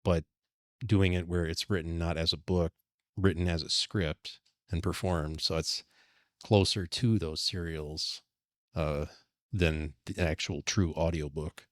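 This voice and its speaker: Male co-host, low-pitched voice, dry close recording, relaxed conversational podcast style. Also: measured pace, no room sound. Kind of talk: casual podcast chat.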